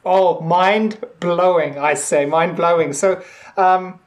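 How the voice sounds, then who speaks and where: A man co-hosting a podcast, chatting casually and haltingly, repeating words as he forms his thoughts. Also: high voice, dull audio. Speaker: podcast co-host. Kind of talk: casual episode discussion.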